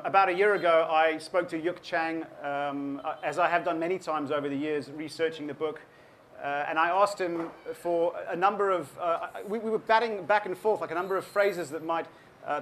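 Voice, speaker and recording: A man's voice: fairly high pitch, fairly quick, a bit bright, slight background noise. A South African man in his forties giving an author talk to an audience, thoughtful and full of ums and restarts. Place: event room with an audience.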